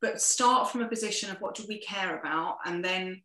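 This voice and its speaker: A woman's voice: mid-range voice, moderate pace, sharp tone, reverberant, rough recording. A woman, the guest in an interview, giving earnest practical advice with frequent restarts. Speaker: sustainability guest. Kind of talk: interview conversation.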